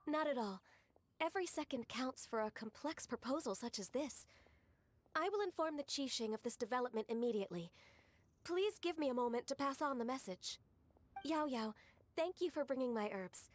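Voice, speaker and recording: A gentle, diligent young secretary from an anime game. She speaks in a high voice, formal, measured and courteous. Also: lively intonation. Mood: polite.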